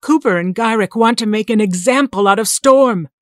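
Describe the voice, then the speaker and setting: A woman's voice: fairly high pitch, normal speed, no echo, natural voice. Actress playing a commanding, defiant shapeshifter woman, dry close recording. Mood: brooding, calculating. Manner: forceful, sharp-tongued, coldly calculating. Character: commanding, defiant shapeshifting mutant schemer posing as government doctor. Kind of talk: audio drama acting.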